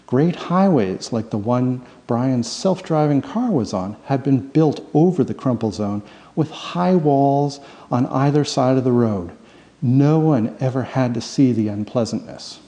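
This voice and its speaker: A man narrates fiction like an audiobook, with a soft-edged, mellow tone and plenty of gravelly creak. His storytelling is dramatic and expressive. The voice rings with long reverb, as if in a hall. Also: mid-range voice, unhurried. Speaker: author reading own novel.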